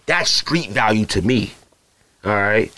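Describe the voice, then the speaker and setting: A man's voice: lowish voice, measured pace, no room sound. Slangy man riffing on a podcast. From Arizona. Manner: slangy, rambling, mimics other people with animated asides. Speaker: podcast host. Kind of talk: casual solo podcast talk.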